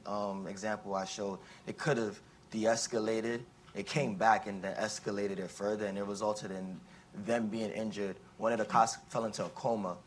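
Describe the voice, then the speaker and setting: A man's voice: on the low side, slight background noise. A young man speaking up from the audience at a panel discussion, asking a long, rambling question full of fillers and restarts. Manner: halting, many fillers and restarts. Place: conference room with an audience.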